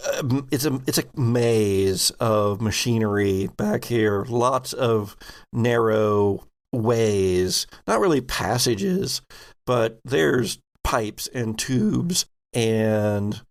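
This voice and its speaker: Male game master, unhurried delivery, gravelly fry, halting descriptive narration, improvised. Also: mid-range voice. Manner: halting, descriptive, with pauses mid-sentence.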